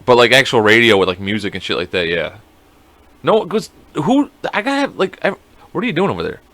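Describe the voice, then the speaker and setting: A man's voice: mid-range voice, fairly quick, no room sound. A young man co-hosting a casual podcast, joking and swearing as he tells stories. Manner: profane, joking, animated storytelling. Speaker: podcast co-host.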